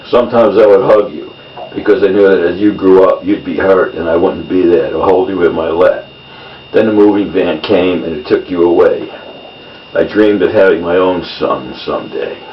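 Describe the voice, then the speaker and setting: A man reading his own poems in a measured delivery, in short phrases. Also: low voice. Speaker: poet. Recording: roomy, distant mic, dull sound, faint noise.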